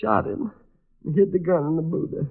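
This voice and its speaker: An actor playing a nervous, cornered culprit in an old radio drama, halting and stammering anxiously. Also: male voice, on the high side. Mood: shaken, confessing. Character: nervous, cornered man confessing to murder. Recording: tinny, narrow vintage radio recording.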